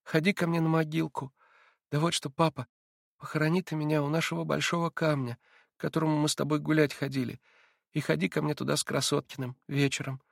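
A man voicing a sick little boy who speaks softly and tremulously. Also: a little high, flat delivery, fast talker, gravelly, no room sound, clean studio sound, voice pushed up. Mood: pleading.

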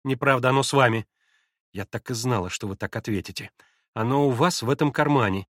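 A man reading aloud, voicing an excitable, imperious young invalid girl who gives urgent commands. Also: medium pitch, brisk, no echo, clean studio sound, close to an everyday voice.

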